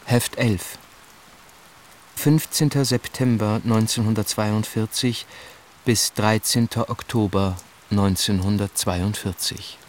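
An actor playing a sober announcer who reads out notebook headings and dates as scripted radio play narration. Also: male voice, fairly low pitch, steady tone, slow pace, slight background noise. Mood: sober, neutral. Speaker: announcer of diary sections.

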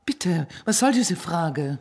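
An actress in a German radio drama playing a proud, guarded professor's wife, answering haughtily and evasively. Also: female voice, lowish voice, expressive, slow, darkish tone, breathy edge, exaggerated voice. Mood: indignant.

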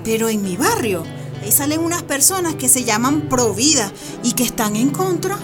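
A worried, humble mother in a radio drama. Her high female voice is hesitant and deferential, pleading softly over light music. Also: big pitch swings, normal speed. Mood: pleading, grateful. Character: anxious, humble neighborhood mother seeking help.

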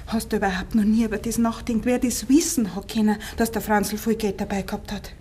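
Woman acting a young Bavarian farm-country girl, medium pitch, earnest, insistent, measured, old radio drama with faint hiss. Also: flat delivery, warm tone, close to an everyday voice. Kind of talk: 1950s German dialect radio play acting.

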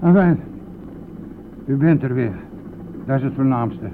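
An actor in a radio play, playing a gruff, impatient male bus driver who grumbles and curses in a brusque manner. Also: medium pitch, a little slow. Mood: gruff, matter-of-fact. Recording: muffled, narrow-band, noisy old radio play.

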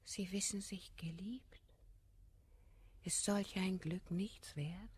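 A woman's voice: mid-range voice, steady tone, slow pace, darkish tone, slight background noise, close to an everyday voice. An actress playing a self-assured, coquettish society lady, poised and imperious, speaking rhymed verse in a classical radio comedy. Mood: offended.

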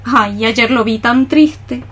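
A woman's voice: fairly high pitch, slow pace, a bit bright. A woman playing a melancholy, dreamy cockroach mystic in radio theatre, sighing her lines as solemn lyrical verse. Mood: wistful.

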